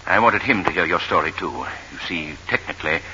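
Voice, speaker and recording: Deep-voiced, cold sanatorium director. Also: male voice, brisk, pushed and bright. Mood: measured, clinical. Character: authoritative, coldly clinical older sanatorium director. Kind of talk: 1940s radio acting. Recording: dull, hissy 1940s radio broadcast.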